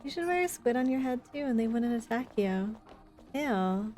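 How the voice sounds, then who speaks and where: Chatty, higher-pitched woman streaming a game. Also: expressive, moderate pace, dark tone. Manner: chatty, reactive. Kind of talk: casual live gameplay commentary, reading in-game notes aloud. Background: video game music.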